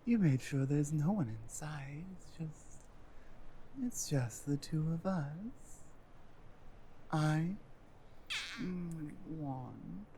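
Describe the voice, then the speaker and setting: A woman voicing a strong, smitten female adventuring healer in an intimate fantasy ASMR roleplay, speaking haltingly with breathy, sultry asides. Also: deep voice, noisy background, heavily acted. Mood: sultry, tender.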